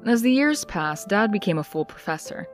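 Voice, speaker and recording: Young female comic-book heroine woman actor, confiding and reflective, animated pitch, music bed. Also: normal speed, lightly acted. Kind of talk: dramatic scripted comic dub narration.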